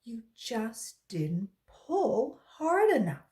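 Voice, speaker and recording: Storybook talking pigtail, voiced by a woman: sly, coaxing, cheeky, with animated pitch. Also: extremely slow, full character voice. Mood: persuasive, sly.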